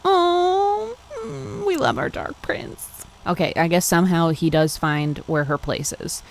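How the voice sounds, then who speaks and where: A woman's voice: lowish voice, animated, unhurried, creaky, a little hiss or hum. A woman hosting a podcast, reading fan fiction aloud and breaking in with casual, gossipy, sassy asides. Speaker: podcast host.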